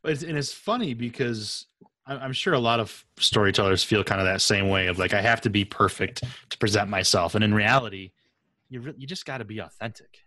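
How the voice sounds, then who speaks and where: A man's voice: on the low side, fairly quick, bright, forceful tone, no echo. Casual man hosting, very dry sound. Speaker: podcast host, interviewer. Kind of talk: casual conversational interview.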